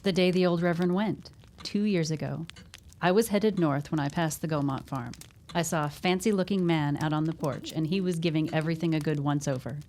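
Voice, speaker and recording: A gossipy neighbour woman from a period audio drama, played by an actress. Her voice sits a little low. She speaks in a confiding, matter-of-fact way, knowing and suspicious of everyone. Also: fairly quick, a little mellow, slight background noise, close to an everyday voice.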